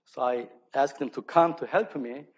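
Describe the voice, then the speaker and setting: Male lecturer, native Korean speaker with Korean accent. Medium pitch, halting delivery, self-deprecating academic talk. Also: breathy edge. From South Korea. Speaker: lecturer, archaeology scholar. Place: speaking live to an audience at an event.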